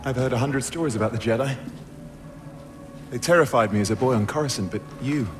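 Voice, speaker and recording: A man's voice: mid-range voice, fairly quick, over music, close to an everyday voice. An actor playing an earnest, wary male Imperial special-forces soldier in a cinematic video game. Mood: wary, reflective.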